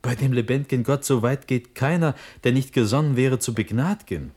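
An actor playing an impulsive, trusting young Prussian prince in a classical verse radio play, with declamatory, impassioned delivery that moves from urgent to hushed. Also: male voice, medium pitch, normal speed, breathy edge, lightly acted. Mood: insistent, confident.

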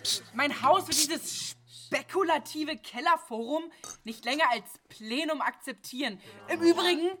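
An actress playing a combative squat delegate raising objections at a meeting in a radio drama. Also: female voice, high-pitched, measured pace, pushed and bright. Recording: meeting crowd behind.

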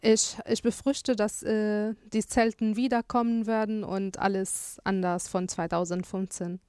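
A woman from Syria, a TV journalist speaking as a radio guest, thoughtful and pausing often to find words. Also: medium pitch, little pitch movement, a little mellow, clean tone, no room sound, studio quality. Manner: thoughtful, searches for words with frequent hesitations. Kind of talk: radio interview.

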